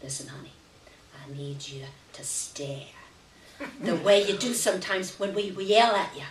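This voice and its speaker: A woman's voice: lowish voice, lively intonation, normal speed, a crowd in the background, close to an everyday voice. A woman voicing a fierce, commanding working-class mother who threatens and gives emphatic orders in a live story reading. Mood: coaxing, stern. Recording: roomy, distant live reading with background noise.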